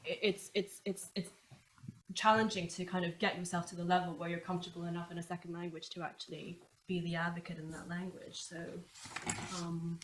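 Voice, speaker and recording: A woman's voice: on the low side, flat delivery, fairly quick, a little hiss or hum. Woman barrister, Chinese native, echoey room. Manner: thoughtful, many fillers and restarts. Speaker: barrister on a panel. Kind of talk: panel discussion answer. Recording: echoey room with clattering dishes.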